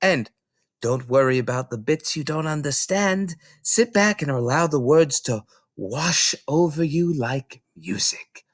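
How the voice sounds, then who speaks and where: A kindly woman librarian, played by a man, talks in a lightly raised, varied pitch. Her manner is gentle, concerned and encouraging, as in a children's storybook. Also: expressive, unhurried, no room sound, studio quality.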